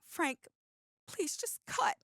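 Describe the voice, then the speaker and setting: A woman playing a tense, exasperated radio co-host in an audio drama, sharp, pleading and insistent. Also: slow, no echo, clean studio sound. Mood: sharp, annoyed.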